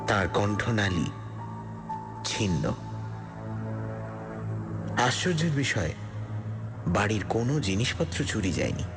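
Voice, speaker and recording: A man narrating a suspense story dramatically, in a scripted first-person style with deliberate pauses. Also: on the low side. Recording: loud music under the voice. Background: loud background music.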